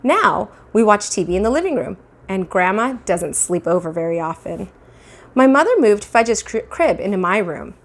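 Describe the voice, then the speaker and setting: Female children's read-aloud narrator, thirties, very expressive, wide swings of pitch. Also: normal speed. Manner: animated, acting out dialogue. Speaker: teacher reading aloud. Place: small indoor room at home. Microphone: clip-on lapel mic.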